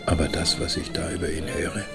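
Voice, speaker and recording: Man acting as insistent male mourner, deep crackly voice, plain-spoken, radio play, loud accordion behind. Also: fairly even intonation, brisk, vocal fry. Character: man insisting he knew the deceased best. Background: loud accordion music.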